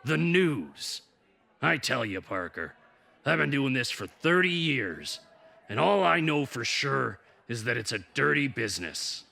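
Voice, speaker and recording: A man voicing a cynical old newspaperman in a comic dub, sardonic and blustering, with a gravelly, raspy voice. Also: medium pitch, big pitch swings, unhurried, pushed and bright, heavily acted. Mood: wry. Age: older.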